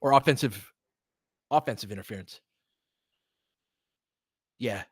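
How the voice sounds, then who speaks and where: A man's voice: fairly low pitch, measured pace, a bit bright, breathy edge, no room sound, clean studio sound. A man calling live basketball play-by-play in short, clipped phrases, with casual fan-style asides. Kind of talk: live audio play-by-play commentary.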